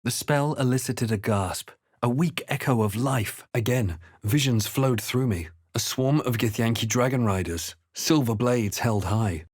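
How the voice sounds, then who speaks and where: Male video game hero, acted by a man: mid-pitched, introspective, watchful, deliberate delivery, close dry recording. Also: dry recording, natural voice. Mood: grave, brooding. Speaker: video game player character. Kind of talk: scripted narration and character lines for a fantasy game.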